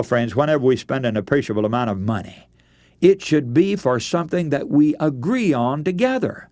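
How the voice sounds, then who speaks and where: A man's voice: medium pitch, a little mellow, no echo. A man preaching a radio sermon, giving practical advice in an earnest, instructive way. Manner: earnest, instructive, addresses listeners as friends.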